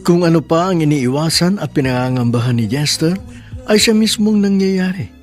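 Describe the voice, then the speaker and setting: A man's voice: on the high side, animated, moderate pace, soft background music. Sentimental male radio drama narrator. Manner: earnest, sentimental storytelling.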